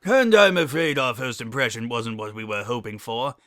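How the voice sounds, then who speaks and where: A man's voice: medium pitch, moderate pace, fairly bright tone, dry recording, studio quality, lightly acted. A man voicing an apologetic middle-aged noble unicorn father in a storybook.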